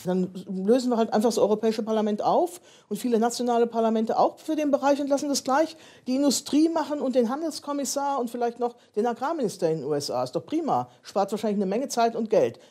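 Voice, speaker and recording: Fast-talking sarcastic woman. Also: a little high, lively intonation, mellow. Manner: sarcastic, rapid.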